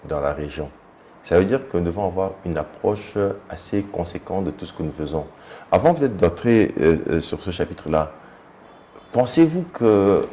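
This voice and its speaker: Man, deep and warm, measured debate guest from home, slight room noise. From Democratic Republic of the Congo. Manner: measured, argumentative, many filler hesitations. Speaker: guest commentator. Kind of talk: televised political debate.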